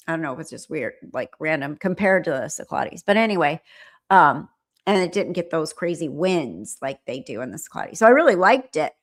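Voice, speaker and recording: A woman from the United States with a General American accent, hosting a livestream and chatting in a rambling, animated way. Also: on the low side. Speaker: livestream host. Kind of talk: casual livestream chat.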